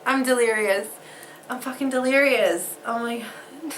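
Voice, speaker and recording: A woman's voice: on the high side, a little slow, fairly bright tone, slight background noise, rough recording. A woman talking casually to camera, losing her voice from a head cold, laughing often and swearing casually. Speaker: vlogger.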